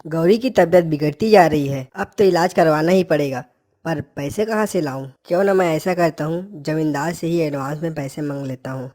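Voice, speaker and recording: A poor, humble village labourer played by a man in a cartoon tale. His voice is dark and mellow, with a plaintive, earnest manner. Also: fairly high pitch, moderate pace, lightly acted. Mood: worried.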